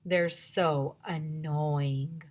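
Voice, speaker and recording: A woman teacher reading a children's book aloud in an expressive, theatrical storytime style. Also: deep voice, very slow, a little hiss or hum.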